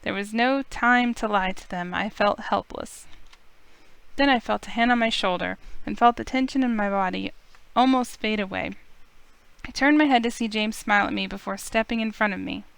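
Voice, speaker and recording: A woman's voice: medium pitch, moderate pace, gravelly, a bit bright, clean tone, no echo. A woman reading a visual novel aloud in character as the heroine, with music behind her. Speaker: game streamer reading the heroine.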